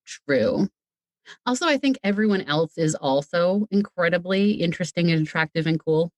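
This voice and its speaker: A woman's voice: on the low side, lively intonation, normal speed, no room sound. A woman chatting casually as a podcast guest, playful and self-deprecating, wandering off into wordy asides. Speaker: podcast guest, audio fiction creator.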